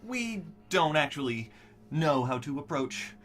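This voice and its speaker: An actor playing a flustered, pedantic scientist in an audio drama, stammering and halting through formal, evasive wording. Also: male voice, fairly high pitch, sing-song, fairly bright tone, faint music behind. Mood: nervous, evasive.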